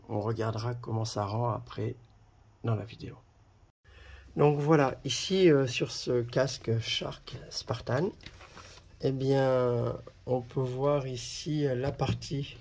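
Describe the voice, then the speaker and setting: Slow-paced man doing a casual demo. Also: medium pitch, creaky, a little mellow, slight background noise. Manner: halting, pausing while handling items. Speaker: video presenter reviewing a product. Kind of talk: casual hands-on product demonstration.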